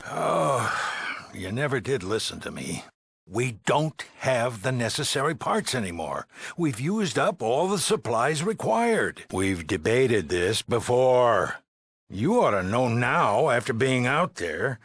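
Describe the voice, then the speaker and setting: An actor playing a weary, anxious middle-aged male leader of an underground shelter in a video game, with a raspy, gravelly voice and halting, earnest delivery. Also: medium pitch, very animated, a bit bright, no echo, full character voice. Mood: concerned, earnest. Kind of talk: dramatic game dialogue acting.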